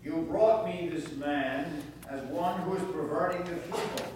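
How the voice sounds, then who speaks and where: Stern governor, read by a man, slow declamatory delivery, higher-pitched male voice, echoey distant church recording. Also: a little mellow, close to an everyday voice. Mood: firm, judicial. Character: stern male governor pleading an accused man's innocence. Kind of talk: dramatic scripture reading in church. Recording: echoey church, distant mic, faint noise.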